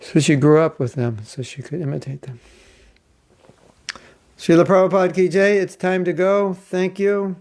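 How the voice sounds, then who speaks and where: A man's voice: high-pitched, steady tone, normal speed, pauses often, a little mellow. A man giving a casual, joking class as a spiritual teacher, chatty and rambling. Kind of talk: casual online class talk.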